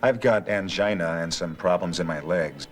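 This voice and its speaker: A man's voice: deep voice, normal speed, soft background music, lightly acted. A man acting as a curious, skeptical patient, asking casual questions in scripted dialogue. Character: curious, skeptical male patient weighing a treatment.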